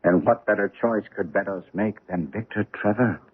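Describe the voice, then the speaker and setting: A man playing a shrewd, composed gentleman detective in a radio mystery drama, speaking in measured, assured phrases. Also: fairly low pitch, husky. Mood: brisk, assured. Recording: muffled old radio broadcast, faint phone-like band.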